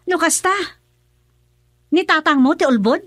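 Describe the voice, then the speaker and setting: A woman's voice: sits high, animated, measured pace, a bit bright, dry recording, studio quality. A woman playing an emotional Ilocano young wife. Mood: accusing. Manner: pleading then accusing, emotional. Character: young wife suspecting her husband. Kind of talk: radio drama acting.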